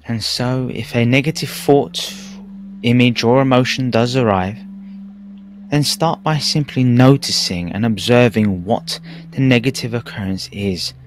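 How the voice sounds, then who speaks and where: A man narrating a guided meditation in a calm, measured, soothing way with pauses. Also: medium pitch, slight background noise. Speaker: meditation teacher. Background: background music.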